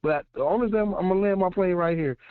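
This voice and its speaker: A man's voice: high voice, quick pace, clear, resonant tone, dry recording. A man calling into a sports show with a blunt, fired-up, profane rant. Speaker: caller. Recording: tinny phone line.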